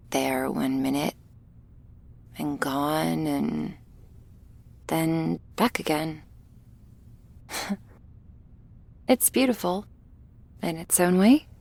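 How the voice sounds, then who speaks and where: A woman playing a shy, awkward young female vampire in an intimate ASMR roleplay, speaking softly and hesitantly, often close to a whisper. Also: low voice, gravelly, exaggerated voice. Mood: awkward, musing. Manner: hesitant, halting, soft, often near a whisper.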